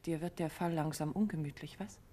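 An actress playing a detective's quick, inquisitive wife who banters and needles her husband, in a 1950s radio play. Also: deep voice, warm tone, lightly acted. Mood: curious.

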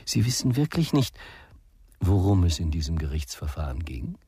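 An actor playing a formal, sceptical state lawyer who questions probingly in a German radio crime drama. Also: male voice, sits low, sing-song, moderate pace, mellow, breathy edge, no echo, studio quality, full character voice.

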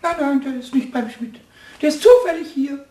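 Squeaky, high-pitched little kobold. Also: male voice, expressive, moderate pace, dark tone, echoey room. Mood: agitated. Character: small impish male kobold, childlike and excitable. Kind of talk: German radio play acting.

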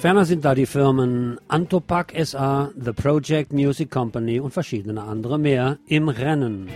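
A man's voice: medium pitch, moderate pace. A man reading scripted news as a radio presenter. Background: music behind the voice.

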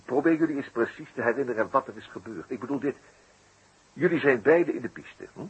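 An actor playing a courteous, shrewd detective with a hesitant, deliberately questioning way of talking in a 1960s radio play. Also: male voice, mid-range voice, expressive, breathy edge, muffled recording. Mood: probing.

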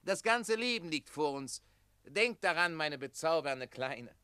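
Sharp-voiced, smug schemer. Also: male voice, fairly high pitch, animated, unhurried, clean tone, no echo. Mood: wheedling, commanding. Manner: taunting, wheedling. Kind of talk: German radio play acting.

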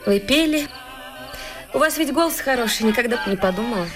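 Actress as tender, higher-voiced village woman. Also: animated, fairly quick, lightly acted. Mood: wistful. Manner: simple, reserved, gently reproachful. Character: plainspoken village woman, tender, quietly holding a man back. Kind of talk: Russian radio play acting. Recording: music behind the voice.

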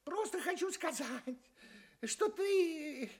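High-pitched, swooping, exasperated miserly farm master. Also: male voice, animated, slow pace, exaggerated voice. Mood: flustered, placating. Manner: spluttering, stammering when flustered. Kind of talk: theatrical fairy-tale audio play.

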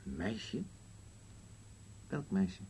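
An actor playing a gloomy, contrary elderly husband in a radio play, speaking in terse, musing contradictions. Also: male voice, deep voice, big pitch swings, drawn-out words, vocal fry, dark tone, slight background noise, full character voice. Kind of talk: Dutch-language radio play acting.

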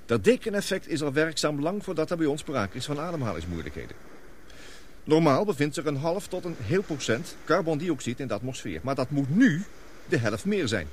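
An actor playing a gloomy, guilt-ridden scientist in a radio play, speaking gravely and deliberately. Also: male voice, a little high, big pitch swings, a little hiss or hum. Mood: grave explaining. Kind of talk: radio drama acting.

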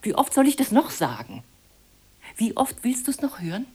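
Strained lady of the house, husky. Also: fairly high pitch, big pitch swings, normal speed, warm tone. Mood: bitter, exasperated. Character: weary, commanding well-to-do wife and hostess. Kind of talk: radio play dialogue.

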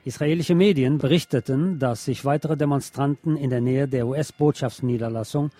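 Newsreader, man with a mellow voice. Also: medium pitch, no echo. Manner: measured, formal read-out delivery. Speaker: news reader. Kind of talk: scripted news narration.

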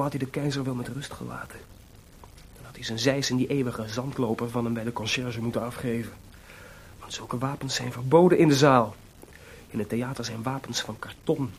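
An actor in a Dutch radio drama playing a proud, debt-ridden composer with a theatrical, sarcastic manner. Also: male voice, medium pitch, a little hiss or hum, close to an everyday voice. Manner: theatrical, sarcastic, swinging from muttering to shouting.

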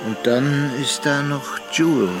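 An actor playing a reflective, worldly gentleman narrator in a literary radio drama, speaking measured standard German. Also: medium pitch, over loud music, close to an everyday voice. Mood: sober, wistful. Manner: measured, contemplative, deliberate phrasing.

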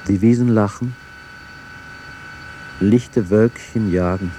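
An actor playing a solemn reader of classical verse in a radio drama, declaiming in measured lines over music. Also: male voice, fairly low pitch, mellow, slight background noise, low-quality recording. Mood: solemn, lyrical.

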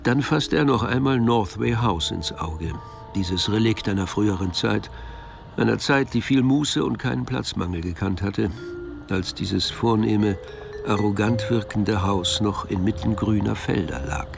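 A man narrating a radio drama, telling the story in a measured, precise literary style. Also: lowish voice, gravelly. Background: music.

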